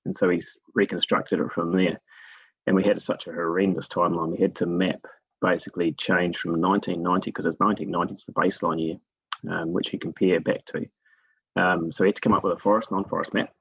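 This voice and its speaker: A man from New Zealand with a gravelly, husky voice, telling his story conversationally as an interview guest. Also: sits low, flat delivery, quick pace, fairly bright tone, dry recording, lo-fi sound. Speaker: guest expert in forest monitoring.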